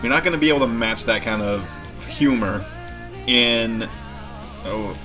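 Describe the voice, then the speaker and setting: A man's voice: medium pitch, measured pace, music in the background. A man hosting a reaction video with casual, off-the-cuff commentary.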